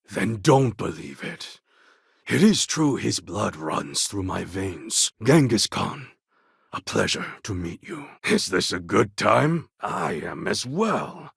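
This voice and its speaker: A man's voice: on the low side, very animated, slow pace, dry recording, clean studio sound, heavily acted. An actor playing a proud, menacing male warlord in a video game, speaking in a grave, formal and threatening way. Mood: stern. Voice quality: gravelly, husky.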